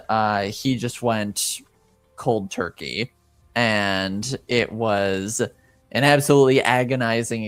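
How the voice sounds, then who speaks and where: Guy running a tabletop rpg, slow n halting, kinda deep clean voice, searching for words. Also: clean tone, dry recording. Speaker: game master. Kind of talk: improvised narration of a tabletop game.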